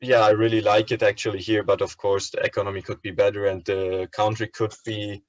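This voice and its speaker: A man, answering interview questions in a relaxed way and pausing to search for words. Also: on the low side, no room sound. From Turkey. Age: 20s. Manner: easygoing, searches for words with ums and likes. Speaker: student interviewee.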